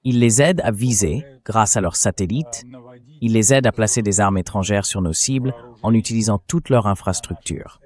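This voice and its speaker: A man's voice: fairly low pitch, normal speed, a little mellow. A synthetic male voice hosting a political interview, reading a translated dub as spoken commentary. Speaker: interview host. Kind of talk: political talk show commentary, dubbed translation.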